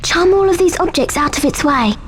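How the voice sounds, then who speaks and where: A woman's voice: very high-pitched, expressive, brisk, a little hiss or hum. A woman playing an anxious, encouraging schoolgirl witch in a video game, earnest, urgent and instructive. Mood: focused, relieved. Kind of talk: video game cutscene acting.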